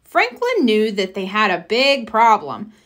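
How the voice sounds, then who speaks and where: Lively, expressive woman reading a storybook. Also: mid-range voice, a little slow. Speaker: storybook reader. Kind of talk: children's read-aloud narration.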